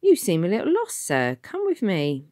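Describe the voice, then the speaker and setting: Brisk polite warden guy, done by a lady reading, dry close sound. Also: mid-range voice, wildly swooping pitch, warm tone, no echo, voice pushed up. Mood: gentle. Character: breezy middle-aged shelter warden, brisk but gentle.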